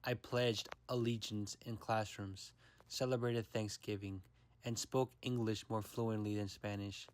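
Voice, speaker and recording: Reflective low-voiced young man narrating. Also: steady tone. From United States. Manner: reflective, measured reading. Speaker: documentary narrator. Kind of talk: scripted personal documentary narration.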